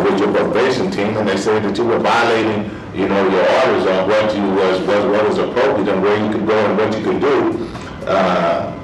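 A man chairing a parole board hearing, speaking unscripted in a procedural, halting way and repeating himself. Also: on the low side. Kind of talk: formal hearing questioning, unscripted. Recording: echoey, distant microphone.

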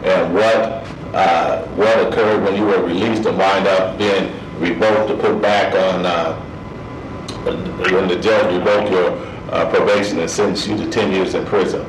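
A man chairing a parole board hearing, speaking unscripted in a procedural, halting way and repeating himself. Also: low-pitched, fairly even intonation, a little hiss or hum. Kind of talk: formal hearing questioning, unscripted. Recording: echoey, distant microphone.

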